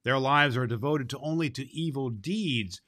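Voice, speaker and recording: A man voicing indignant immortal fairy folk in a storybook, making slow, emphatic declarations. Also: mid-range voice, pushed and bright, no room sound, studio quality, lightly acted. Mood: indignant, resolute.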